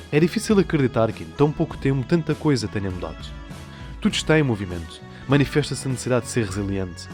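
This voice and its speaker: A man with a European Portuguese accent narrating a documentary from a script, measured and asking rhetorical questions. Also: medium pitch, a little mellow. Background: music.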